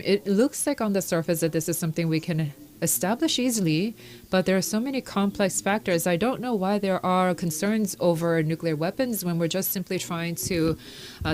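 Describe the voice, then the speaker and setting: Low-voiced woman interpreting steadily. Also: darkish tone. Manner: measured, with pauses and fillers. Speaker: simultaneous interpreter. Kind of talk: simultaneous interpretation of a caller's question.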